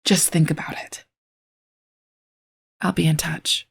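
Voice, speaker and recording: A woman playing a weary, wounded figure of authority, breathy and creaky, hushed and deliberate in an audio drama. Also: low-pitched, little pitch movement, a little hiss or hum, full character voice. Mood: confiding, worried.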